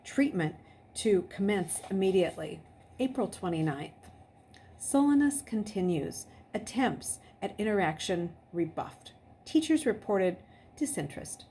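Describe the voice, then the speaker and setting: A woman reading a novel aloud as an expressive storyteller. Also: mid-range voice, a little hiss or hum. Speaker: audiobook reader.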